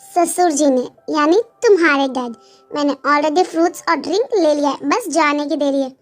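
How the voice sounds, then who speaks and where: Woman as a cocky, very high-voiced young husband. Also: moderate pace, soft background music, close to an everyday voice. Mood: matter-of-fact. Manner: teasing, self-satisfied.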